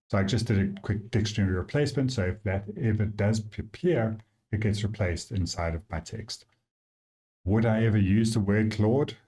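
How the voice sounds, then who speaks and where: Mellow-voiced South African man. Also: fairly low pitch. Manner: thinks aloud, loose and chatty with fillers. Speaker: app developer giving a demo. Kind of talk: casual software walkthrough.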